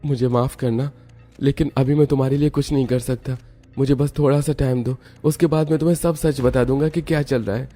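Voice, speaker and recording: A man's voice: mid-range voice, fairly even intonation, brisk, mellow, soft background music, close to an everyday voice. A man voicing an apologetic young husband in a family cartoon, placating and earnest. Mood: regretful. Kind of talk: Hindi family cartoon voice acting.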